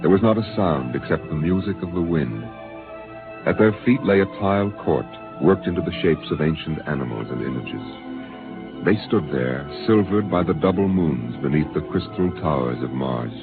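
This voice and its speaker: Deep, solemn male radio narrator. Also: expressive. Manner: measured, solemn. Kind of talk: scripted dramatic narration. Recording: tinny 1950s radio broadcast with music.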